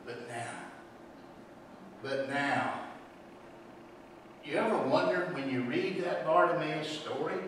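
A middle-aged man preaching a sermon in a church sanctuary, telling a story and swinging between hushed and loud delivery. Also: on the high side, slight background noise. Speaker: pastor. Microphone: room microphone, far from camera. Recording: roomy echo, distant mic.

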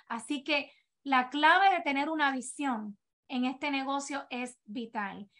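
A woman's voice: high-pitched, fairly bright tone. A woman in her thirties with a Puerto Rican accent gives an earnest, encouraging business presentation over a video call. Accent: Puerto Rican Spanish. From Puerto Rico. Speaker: direct-sales team leader. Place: home office, indoors.

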